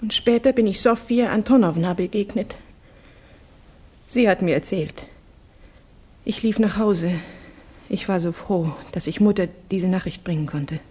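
An actress playing a refined, earnest young Russian woman in grief, speaking haltingly in a 1960s German radio drama. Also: medium pitch, dark tone, husky. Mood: grieving, halting. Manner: halting, formal, intense.

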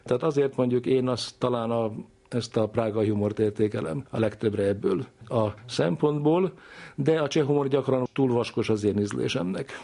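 A man's voice: mid-range voice, vocal fry, dark tone. A man giving reflective, scholarly explanations in an interview, speaking discursively as an expert.

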